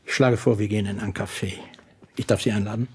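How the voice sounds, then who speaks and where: An actor playing a stiff, formal, pompous detective agency boss in a German radio play. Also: male voice, fairly low pitch, steady tone, fast, a little mellow, husky. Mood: smooth, courteous.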